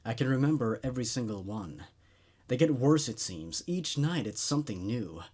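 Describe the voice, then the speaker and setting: A man's voice: medium pitch, lively intonation, moderate pace, a little mellow, breathy edge. A man voicing a troubled, nervous male patient in his twenties in a horror story, hesitant and uneasy. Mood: haunted, fearful.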